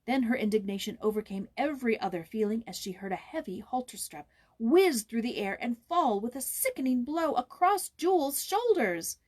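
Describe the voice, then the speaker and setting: Female audiobook reader, slightly husky tone, expressive and theatrical storytelling delivery. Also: fairly high pitch, moderate pace. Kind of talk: dramatic scripted storybook narration.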